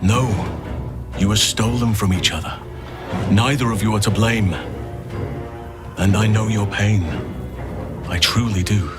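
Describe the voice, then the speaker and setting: A grave, gentle male druid from a video game, acted by a man. His voice is fairly low and very slow, patient and soothing like a father's. Music plays behind him. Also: fairly even intonation, lightly acted. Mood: tender, consoling. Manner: earnest, measured, soothing. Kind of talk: video game character acting.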